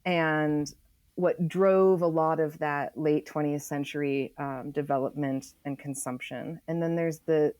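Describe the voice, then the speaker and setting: A middle-aged American woman explaining in conversation as an interview guest, thoughtful and halting, leaning on key phrases. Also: low-pitched, dark tone, no room sound. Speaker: author guest on a podcast.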